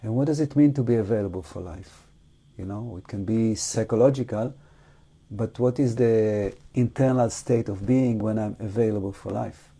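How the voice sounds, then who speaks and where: Lowish really mellow guy leading a meditation, not a native english speaker, thinks out loud, lots of long pauses. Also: breathy edge. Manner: halting, reflective, with long pauses. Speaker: meditation teacher. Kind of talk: unscripted guided meditation talk.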